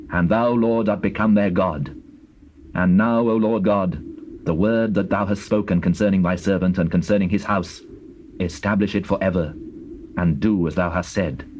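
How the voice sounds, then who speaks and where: An actor playing King David as a humble king in prayer, reverent, measured and formal, in dramatized scripture. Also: fairly low pitch, darkish tone, lo-fi sound, a little hiss or hum, close to an everyday voice.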